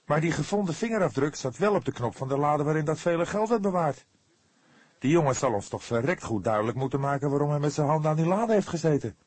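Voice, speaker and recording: Man voicing an excitable, higher-pitched young detective. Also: fast, husky, no room sound. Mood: heated. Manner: heated, insistent, emphatic. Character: eager, impatient younger male police detective sidekick.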